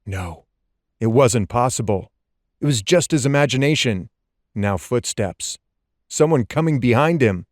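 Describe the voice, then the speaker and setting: A man narrating suspense fiction as an audiobook, in a tense, deliberate and dramatic storytelling style. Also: mid-range voice, animated, no room sound, studio quality.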